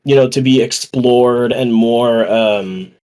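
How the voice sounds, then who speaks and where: A man's voice: medium pitch, takes it slowly, a little mellow, clean tone. A young man talks movies on a casual podcast. He is slangy and jokey, swears easily, and slips into imagined quotes to make his point. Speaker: podcast panelist. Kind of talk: casual chat about movies.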